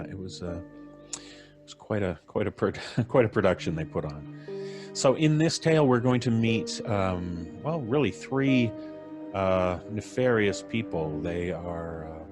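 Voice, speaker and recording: A man hosting a live story show, giving a casual, joking introduction with wry asides and hesitant pauses. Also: low voice. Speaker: podcast host introducing a live performance.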